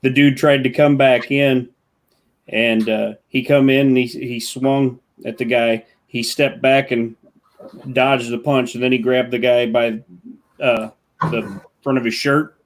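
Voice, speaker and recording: A man with a mid-range voice telling a long story in casual chat. He circles back and restarts his sentences as he builds the anecdote. His delivery is relaxed and unpolished. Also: mid-range voice, a little slow.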